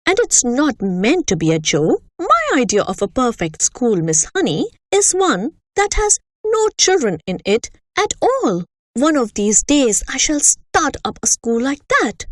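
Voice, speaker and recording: A woman's voice: high-pitched, huge pitch swings, a little slow, no room sound, studio quality, full character voice. A woman doing a raging bully headmistress. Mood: scornful. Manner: shouting, contemptuous.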